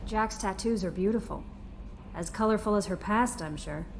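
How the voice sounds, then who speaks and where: A woman's voice: medium pitch, moderate pace, background noise, lightly acted. An actress playing a friendly, eager female crew assistant aboard a ship in a video game, polite and attentive. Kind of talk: video game character acting. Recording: game audio with faint ship ambience.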